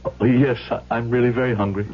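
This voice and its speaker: A man's voice: mid-range voice, steady tone, quick pace, slight background noise, close to an everyday voice. An actor playing a troubled, honest immigrant shopkeeper father in an old radio drama, earnest and questioning. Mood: hesitant. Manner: earnest, questioning, careful phrasing. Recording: muffled, humming vintage radio recording.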